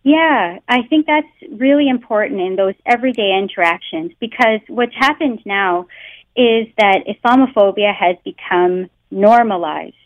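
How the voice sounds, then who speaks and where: A Canadian woman talking earnestly down a muffled phone line, her voice dry and close. She leans on many "you know"s and "um"s as she explains. Her pitch sits at an ordinary middle height. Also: moderate pace, no echo. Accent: Canadian English. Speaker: guest researcher. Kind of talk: phone interview. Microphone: telephone.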